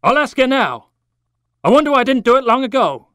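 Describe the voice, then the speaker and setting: A man voicing a hurried, distracted and brusque stockbroker boss in a story, urgent and impatient. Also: extremely high pitch, big pitch swings, fairly bright tone, clean tone, dry recording, clean studio sound, pitched above the performer's own voice, exaggerated voice. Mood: urgent, pleading.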